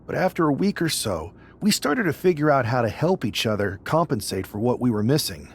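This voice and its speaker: A man playing a reflective, plain-spoken wrestler with a husky voice in a video game cutscene. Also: mid-range voice, sing-song, brisk. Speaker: pro wrestler character.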